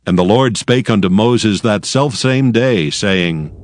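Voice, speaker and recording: Animated male Bible narrator. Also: fairly low pitch, unhurried, no room sound, clean studio sound. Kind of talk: scripted dramatized Bible narration.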